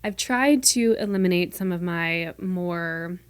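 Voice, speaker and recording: A woman's voice: lowish voice, smooth, dry recording. A woman in her twenties from North Carolina, hosting a casual solo podcast from her room, chatty and playful, with a mostly neutral American accent that slips into a light Southern lilt. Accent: mostly neutral American, with a light Southern lilt at times. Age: 20s. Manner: chatty, fast-talking and playful. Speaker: podcast host.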